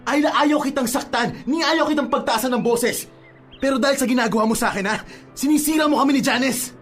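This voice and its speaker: A man's voice: extremely high pitch, fast talker, fairly bright tone. An actor playing an exasperated, anguished young man with a Filipino accent in an earnest radio drama style, mixing in English. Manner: earnest, emphatic, switches between Tagalog and English. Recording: music bed under the dialogue. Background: music.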